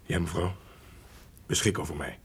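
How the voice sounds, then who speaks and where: An actor playing a loyal, honest manservant in a formal radio play, speaking in a grave, sombre and measured manner. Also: male voice, deep voice, fairly even intonation, gravelly, breathy edge, exaggerated voice. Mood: respectful. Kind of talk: Dutch radio play acting, formal narration.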